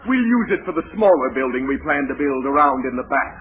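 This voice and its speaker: A man's voice: medium pitch, animated, quick pace, phone-quality sound, lightly acted. A man playing a formal, easily flustered school dean in a 1940s radio comedy. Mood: worried, exasperated. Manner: formal, stammering when flustered. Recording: thin band-limited 1940s radio, audience noise.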